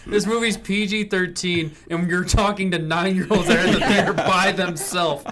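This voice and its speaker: A woman's voice: fairly low pitch, steady tone, moderate pace, noisy background. A woman chatting on a podcast, tossing in wry quips and giggles. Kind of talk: casual podcast chat.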